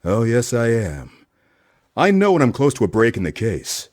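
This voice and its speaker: A man's voice: mid-range voice, wildly swooping pitch, moderate pace, darkish tone. A man playing a dogged, wordy private detective with theatrical hardboiled delivery in a comedic noir radio play. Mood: probing. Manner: theatrical, hardboiled first-person narration and probing questions.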